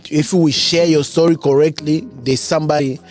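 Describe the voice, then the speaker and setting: A man hosting a conversational interview, halting and repeating words as he phrases his questions. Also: a little high, steady tone, a little mellow, faint music behind. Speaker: podcast host, interviewer.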